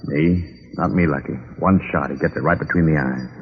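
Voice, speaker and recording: Very deep-voiced, menacing gangster henchman. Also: a little fast. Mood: cold, gloating. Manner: curt, threatening tough-guy slang. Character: menacing male gangster henchman, tough and sneering. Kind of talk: 1940s-50s radio crime drama acting. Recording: dull old radio recording.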